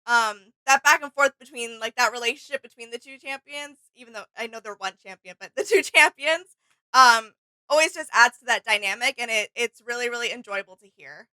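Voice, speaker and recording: A woman's voice: on the high side, brisk, pushed and bright, no echo, rough recording. A woman co-hosting a video game reaction show, chatting casually in an agreeable, explanatory way.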